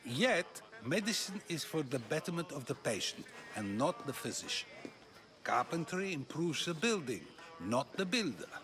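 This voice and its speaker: An actor playing a playful ancient Greek philosopher in a video game, teasing and arguing through questions. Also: male voice, on the high side, big pitch swings, normal speed, music in the background. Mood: rhetorical, questioning. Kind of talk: dramatic video game dialogue.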